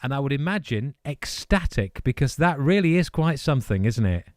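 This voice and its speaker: A man presents a live radio interview in an encouraging, enthusiastic way. His middle-pitched voice sits close on the microphone in a very dry studio. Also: very animated, normal speed, no room sound. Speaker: radio host.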